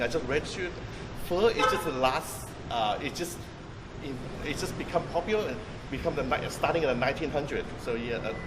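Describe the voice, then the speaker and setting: A man from Vietnam, explaining food as a tour guide in a halting way, full of restarts and fillers. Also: mid-range voice. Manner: halting, many restarts and fillers, thinks aloud while explaining. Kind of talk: informal guided-tour talk. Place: outdoors on a busy street near a market. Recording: distant, echoey street recording with traffic noise.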